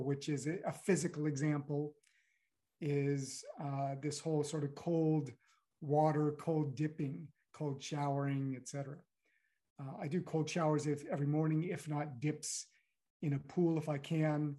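A middle-aged man from the United States answers interview questions in a reflective, unhurried way. His voice sits a little high for a man, and he circles back on his words with frequent "you know"s and restarts. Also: warm tone. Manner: thoughtful, halting, many "you know"s. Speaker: guest.

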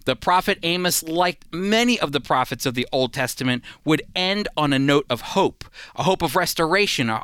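A man's voice: high-pitched, bright, forceful tone, clear, resonant tone, no echo, clean studio sound. A man teaching the Bible on a radio program, emphatic, asking his listeners rhetorical questions.

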